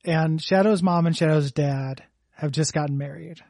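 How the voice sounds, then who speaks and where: A man co-hosting a comedy chat in a dry, hesitant, deadpan way. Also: fairly high pitch, moderate pace, vocal fry, no room sound. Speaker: podcast co-host.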